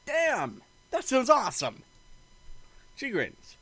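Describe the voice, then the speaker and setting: A man's voice: very high-pitched, wildly swooping pitch, slow pace, bright, forceful tone. A man reading a visual novel aloud in a let's play, narrating the story and acting out the dialogue expressively.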